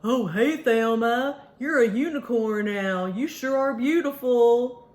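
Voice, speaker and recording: A woman's voice: a little high, takes it slowly, a little mellow, voice pushed down. A woman voicing a friendly, admiring male donkey in a children's story, plain and complimentary. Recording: echoey room.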